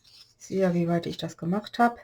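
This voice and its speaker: A woman with a slight cold-hoarse voice, chatting through a step-by-step paper-craft tutorial and explaining the measurements as she works. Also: fairly low pitch, normal speed, dark tone, a little hiss or hum. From Germany.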